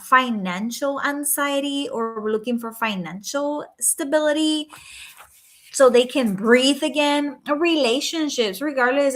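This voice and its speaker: A woman's voice: a little high, a bit bright. A woman from Puerto Rico with a Puerto Rican Spanish accent, Spanish her first language, hosting a casual live stream from home at night, earnest and chatty with frequent "right?" and "um". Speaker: life coach hosting a livestream.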